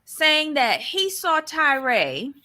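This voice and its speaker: A woman's voice: high-pitched, big pitch swings, extremely slow, sharp tone. A woman with a Barbadian accent, co-hosting a casual recap show and giving blunt, opinionated takes. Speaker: podcast co-host.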